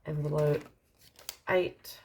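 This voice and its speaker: An Australian woman with a slightly croaky, dry voice, casually narrating as she counts out cash in an unhurried way. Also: sits low, fairly even intonation, heavy background noise. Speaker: budgeting vlogger.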